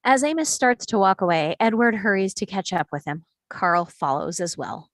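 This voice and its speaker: A woman reading the action lines of a screenplay at a table read, in a steady, descriptive way. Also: medium pitch, normal speed, no room sound. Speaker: reader of stage directions.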